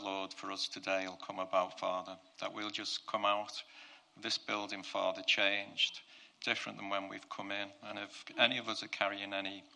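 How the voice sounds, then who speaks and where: A male preacher with a croaky, gravelly voice that has a bright, sharp edge. His pitch sits low and stays flat, with little rise or fall. He preaches earnestly and often circles back to restart his phrases. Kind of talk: prayer and sermon to a congregation.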